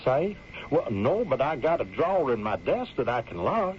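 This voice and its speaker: A man's voice: mid-range voice, big pitch swings, moderate pace, lightly acted. Guy doing polite flustered western hotel man, drawly, voice jumps all over, muffled noisy radio western. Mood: obliging. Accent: American West drawl, folksy. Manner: hesitant, deferential, folksy, stammers ma'am. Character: flustered, courtly frontier hotel keeper, folksy and cautious. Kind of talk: radio drama acting. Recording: muffled, noisy old radio drama recording.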